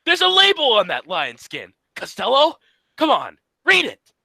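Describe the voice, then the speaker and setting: A man playing the sharp, suspicious straight man in a 1940s-style radio comedy, brisk and badgering. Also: very high-pitched, wildly swooping pitch, gravelly, no echo, full character voice.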